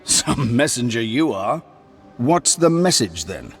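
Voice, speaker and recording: A man's voice: mid-range voice, big pitch swings, takes it slowly, soft background music. An actor playing a weary, sarcastic male commander holding a barricade. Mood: exasperated. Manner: sarcastic, exasperated.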